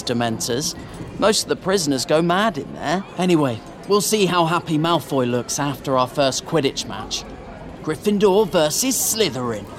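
Guy doing a cheeky teen lad, chatty and a bit scornful, slightly high, hiss behind. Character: cheeky, mischievous teenage boy.

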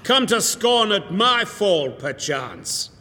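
A man's voice: sits high, very animated, slow, sharp tone, long reverb tail, slight background noise, voice pushed up, full character voice. A man voicing a short, squat, hot-tempered knight with pompous, archaic bluster.

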